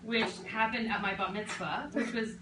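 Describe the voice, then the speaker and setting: A young woman with a loud voice giving a chatty, joking toast at a birthday party. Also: a little high, fairly even intonation, normal speed, noisy background. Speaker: family member giving a toast. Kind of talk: casual spoken toast. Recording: echoey room, distant mic, loud crowd.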